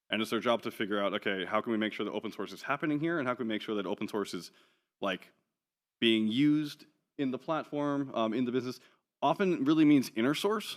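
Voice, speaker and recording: A man giving a conference talk from slides, chatty and wry, with plenty of ums and offhand jokes. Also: medium pitch. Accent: none New Zealand. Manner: casual, chatty, wry asides with many ums. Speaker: conference speaker. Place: conference hall.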